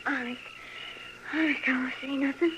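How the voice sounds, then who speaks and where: A performer playing a frightened young farm boy in an old radio western, halting, earnest and pleading in speech. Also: high-pitched, fairly even intonation, natural voice. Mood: dazed terror. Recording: dull, faintly noisy vintage radio recording.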